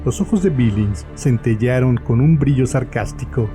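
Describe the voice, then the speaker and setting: A man narrates a horror tale in a scripted, literary style. His voice sits at a middle pitch, with music clearly playing behind him. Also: animated, moderate pace.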